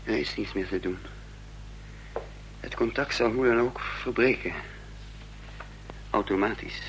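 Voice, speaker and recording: An actor playing a troubled man caught up in intrigue, narrating his own story with measured drama in a radio play. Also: on the low side, a bit bright. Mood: grave. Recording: faintly noisy, humming radio play recording.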